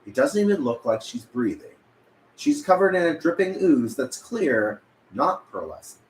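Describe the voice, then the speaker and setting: A man's voice: a little high, very animated, moderate pace. A man reading scripted narration as narrator at a table read. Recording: echoey room, faint background noise.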